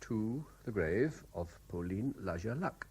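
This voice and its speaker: A worried lawyer in old radio play, measured and careful, husky voice, lots of up and down. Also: male voice, lowish voice, animated, darkish tone. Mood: guarded. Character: earnest, troubled male lawyer narrating his surveillance case. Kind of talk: radio play acting with diary-like narration. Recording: 1970s radio drama recording.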